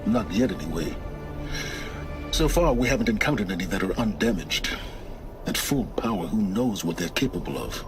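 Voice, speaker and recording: An actor playing an aloof, impatient, secretive middle-aged wanderer and scholar in a video game, speaking in a measured, condescending, curt way. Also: male voice, low-pitched, animated, music in the background. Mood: guarded, explanatory. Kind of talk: video game cutscene dialogue.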